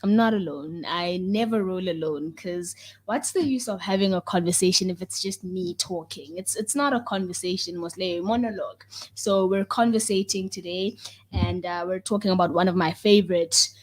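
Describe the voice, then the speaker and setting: A woman's voice: fairly low pitch, normal speed. Playful young South African woman hosting radio. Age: young adult. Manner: playful, repeats phrases for emphasis. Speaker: radio show host. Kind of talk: lively youth radio talk show hosting.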